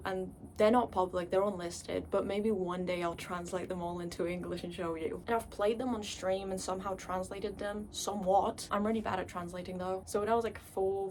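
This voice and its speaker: A young woman whose first language is Polish, talking quietly late at night in her room in a casual vlog monologue. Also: mid-range voice, a little hiss or hum. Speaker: YouTuber.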